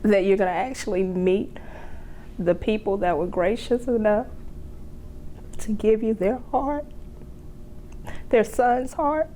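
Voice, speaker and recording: A woman's voice: steady tone, slow pace, pauses often, warm tone. A woman speaking off the cuff in an interview. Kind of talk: unscripted interview remarks. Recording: faint low background rumble.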